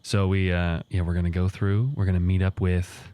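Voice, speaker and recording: A man's voice: low-pitched, little pitch movement, normal speed, dark tone. A man playing a tabletop game, chatting casually as he works out his moves.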